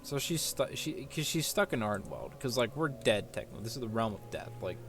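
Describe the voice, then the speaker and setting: A man's voice: mid-range voice, expressive, a little fast. A man giving casual, ranting gameplay commentary as a streamer, exasperated and sweary. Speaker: game streamer. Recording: game music behind the voice.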